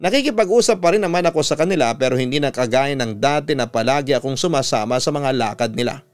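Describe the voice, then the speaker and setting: A man with a Filipino accent narrating a personal story as a radio storyteller, in a smooth, resonant voice. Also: mid-range voice, fast, soft background music. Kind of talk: scripted dramatic narration of a listener's letter.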